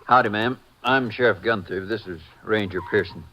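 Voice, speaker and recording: An actor playing a blunt, skeptical county sheriff in a 1950s radio drama. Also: male voice, on the low side, normal speed, bright, forceful tone, highs cut off. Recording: noisy old radio broadcast.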